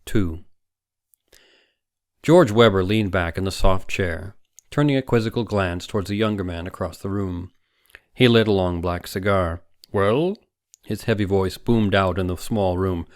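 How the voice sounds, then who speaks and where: Guy reading a story for an audiobook, lowish voice, dramatic narration. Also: normal speed, no room sound, studio quality. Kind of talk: scripted fiction narration, dramatic.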